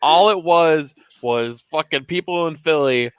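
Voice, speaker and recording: A man's voice: fairly high pitch, unhurried, smooth, dry recording. A man with a Minnesota accent in casual chat, blunt and sweary with mock outrage. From Minnesota, United States.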